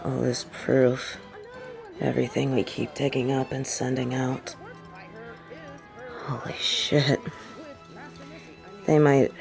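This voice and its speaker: A woman's voice: deep voice, darkish tone. A woman playing a sardonic, vengeful supervillain with a tender side for her partner, in an intimate audio roleplay. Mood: dark satisfaction. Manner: teasing, sighing, halting when confessing.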